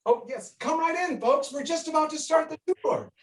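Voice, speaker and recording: A man's voice: very high voice, moderate pace, echoey room, distant mic. An actor in a stage play playing a chatty, easily sidetracked Alaskan roadhouse tour guide who heartily herds his customers along. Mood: hearty, beckoning. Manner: rambling, easily sidetracked, hearty when calling folks.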